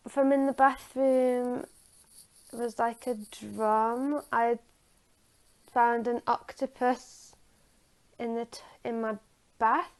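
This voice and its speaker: Hesitant, slow-paced woman retelling a story. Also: on the high side, steady tone. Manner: halting, hesitant, searching for words.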